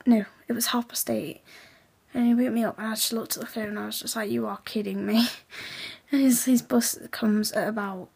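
A teenage girl from England, raspy and hoarse, chatting quietly in a late-night webcam vlog in her room, half-whispering so as not to wake the house. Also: on the high side, fairly even intonation, a little fast. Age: teens. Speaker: vlogger. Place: bedroom at night, indoors. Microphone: laptop webcam.

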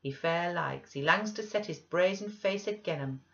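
A woman's voice: on the low side, moderate pace, creaky, fairly bright tone, lo-fi sound. A woman voicing an old Yorkshire servant man, gossiping and scornful, in an audiobook reading.

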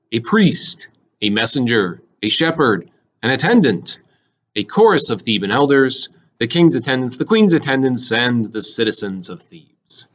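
Man reading a play aloud, lively pitch. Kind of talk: dramatic scripted read-aloud of stage directions.

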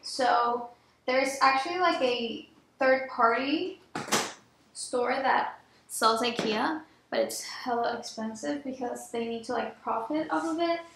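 Young female vlogger, slow casual chat, recorded in an echoey home office with noticeable background noise. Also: on the high side, vocal fry, a bit bright. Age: young adult.

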